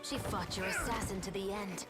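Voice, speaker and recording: A woman playing a cold, vengeful accuser who taunts deliberately in a dramatic audiobook reading. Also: medium pitch, over loud music, close to an everyday voice. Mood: cold accusation.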